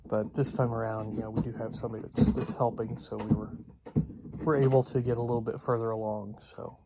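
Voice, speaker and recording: A man giving a casual, unscripted vlog update in a halting, rambling way with long pauses. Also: on the low side, very noisy. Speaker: video blogger.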